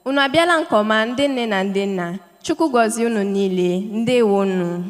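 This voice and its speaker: A woman's voice: on the high side, moderate pace, slight background noise. Confident young woman. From Nigeria. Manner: confident, declamatory. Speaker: student. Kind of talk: formal welcome speech. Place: hotel ceremony hall. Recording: echoey hall.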